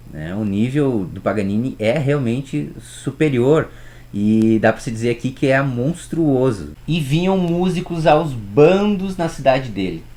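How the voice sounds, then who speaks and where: Man, mid-pitched voice, chatty music history teacher who speaks straight to the viewer and throws in rhetorical questions. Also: a little mellow. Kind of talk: casual storytelling lesson.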